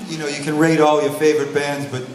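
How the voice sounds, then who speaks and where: A man's voice: on the high side, fairly even intonation, brisk. A man in a band answering fan questions in a laid-back, hesitant way. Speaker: band member on a fan Q&A panel. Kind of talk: casual panel answers. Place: event hall on a cruise ship. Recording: echoey room.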